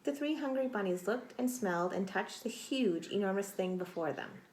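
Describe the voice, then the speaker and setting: A woman's voice: mid-range voice, lively intonation, darkish tone. Woman reading aloud to children, roomy echo. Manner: deliberate, dramatic emphasis, addressing young listeners directly. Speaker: teacher reading a picture book aloud to children. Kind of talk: expressive children's storybook read-aloud. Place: small indoor room. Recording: roomy echo, faint noise.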